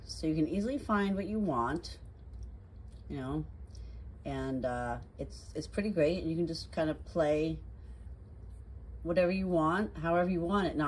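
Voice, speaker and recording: A woman giving a casual how-to demonstration in an informal, explanatory way. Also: sits low. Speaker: product reviewer. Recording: clear background ambient noise.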